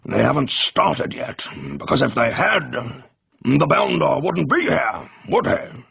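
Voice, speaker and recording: A man voicing a pompous, blustering older army major in an audiobook, with a gravelly, husky voice that jumps to high exclamations. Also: very animated, slow pace, a bit bright, no echo, highs cut off, full character voice. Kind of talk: audiobook character voice.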